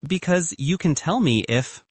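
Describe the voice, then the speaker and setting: An affectionate young woman in an audiobook, read by a man. She is gentle and concerned, carefully probing her boyfriend. The pitch is lively and the recording close and dry. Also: a little slow, breathy edge, dry recording.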